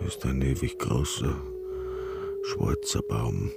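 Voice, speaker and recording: A man's voice: very deep voice, wildly swooping pitch, slow, gravelly, loud music behind the voice, heavily acted. An actor playing a dreamy, musing father talking to his child in a poetic radio drama. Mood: dreamy wonder.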